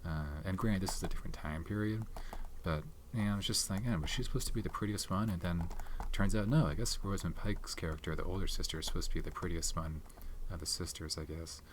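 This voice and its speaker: A man talks softly and close to the mic in a very low voice, rambling through his thoughts with lots of fillers and restarts. Tapping and clicking sit in a clearly noisy background. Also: fast talker. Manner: soft-spoken, rambling with many fillers and restarts. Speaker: ASMR channel host reviewing his film collection. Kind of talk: soft-spoken ASMR chat.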